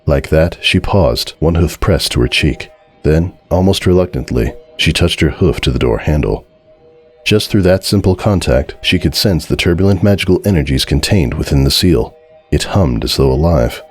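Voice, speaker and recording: Casting: male narrator, profoundly deep, solemn dramatic storyteller, faint music underneath. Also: animated, normal speed, slightly rough. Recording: music behind the voice.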